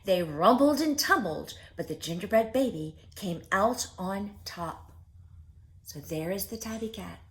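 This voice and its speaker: A woman reads a children's story aloud at an unhurried pace. Her mid-range voice rises and falls a great deal, and she is expressive, speaking straight to her young listeners. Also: mid-range voice. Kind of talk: read-aloud for preschoolers.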